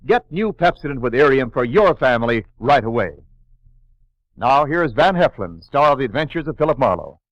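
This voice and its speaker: A man's voice: mid-range voice, big pitch swings, moderate pace, no echo. An American man announcing in a polished, promotional 1940s radio style. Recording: muffled dry vintage radio recording.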